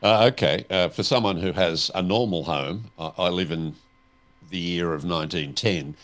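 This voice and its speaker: Co-host, male, lowish voice, plain-spoken questioner in relaxed conversational talk. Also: moderate pace. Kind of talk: conversational discussion.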